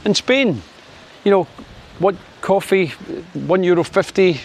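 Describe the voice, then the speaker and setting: A man's voice: slow pace, slight background noise. Chatty Scottish man in his sixties. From Scotland. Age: 60s. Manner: chatty, wry, lots of fillers, lifts his pitch for sunny Spain. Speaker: vlogger. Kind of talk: casual opinion vlog to camera.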